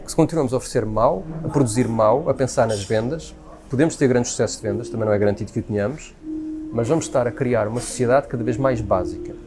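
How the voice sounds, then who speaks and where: A man's voice: mid-range voice, fast talker. A man with a European Portuguese accent talking spontaneously and giving his opinions in an emphatic, repetitive way. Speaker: speaker sharing opinions in a conversation.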